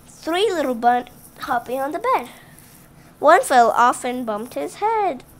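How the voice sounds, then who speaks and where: A woman's voice: sits high, sing-song, a little slow. A female channel host reading a picture book aloud to children at home, stumbling and restarting on words and stopping for chatty asides to the kids. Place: indoors at home, book on a table.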